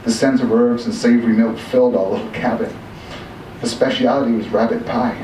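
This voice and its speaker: An aging, obsessive big-game hunter played by a man tells his tale with pompous, theatrical flair and old-fashioned words. He is boastful yet wistful, in a medium-pitched voice. The storytelling is heard from off in an echoey room with clear background noise. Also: fairly even intonation, a little fast, mellow, close to an everyday voice. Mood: fond, nostalgic. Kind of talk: dramatic storytelling monologue read aloud. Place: live reading in a room. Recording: echoey room from a distance, noisy.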